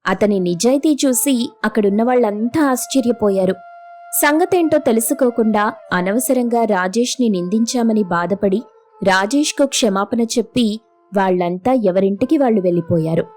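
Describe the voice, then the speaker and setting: A woman's voice: a little high, normal speed, a little mellow. A woman storyteller narrating a scripted moral tale.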